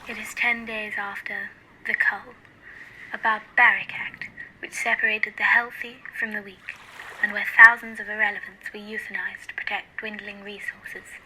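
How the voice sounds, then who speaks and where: A young woman narrating a dystopian radio play as a grave storyteller, in a solemn, measured way. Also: medium pitch, level tone, close to an everyday voice. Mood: somber. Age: young adult. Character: grave female narrator of a dystopian near future. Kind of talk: scripted radio drama narration. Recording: clearly noisy recording with clicks.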